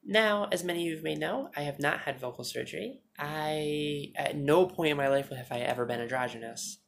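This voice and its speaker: A woman vlogging on her phone at home, chatting fast and joking with some swearing, her naturally deep voice held higher. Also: vocal fry, slight background noise. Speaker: YouTuber. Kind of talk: casual storytelling vlog. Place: indoors at home, TV behind her.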